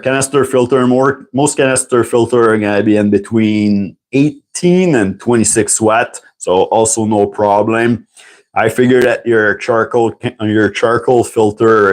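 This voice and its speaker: A man, chatting casually on a livestream and answering viewer questions. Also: lowish voice. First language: French. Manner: rambling and chatty, answers viewer questions, clears throat often. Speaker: livestream host.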